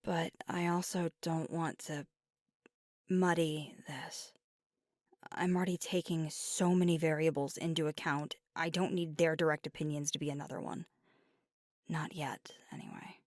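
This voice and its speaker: A woman playing an earnest, conflicted young werewolf girlfriend in an intimate ASMR roleplay, speaking softly and candidly, often near a whisper, close to the mic. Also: low voice, little pitch movement, dry recording. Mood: hesitant. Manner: deliberate, candid, casually profane. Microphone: close microphone, dry.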